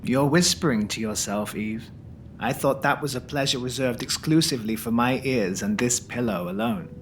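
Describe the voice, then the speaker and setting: A woman's voice: very deep voice, moderate pace, slight background noise. A woman playing a devoted, coaxing lover in an audio drama, speaking in a languid, intimate, romantic manner. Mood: teasing, seductive. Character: devoted lover, former priest, tender, seductive, coaxing.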